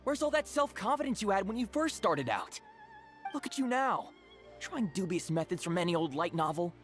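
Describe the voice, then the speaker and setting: A man's voice: high voice, sing-song, moderate pace, fairly bright tone, faint music behind. A man playing a pragmatic, exasperated book editor in a video game, blunt and correcting but reluctantly helpful. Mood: concerned, blunt. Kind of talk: animated game dialogue.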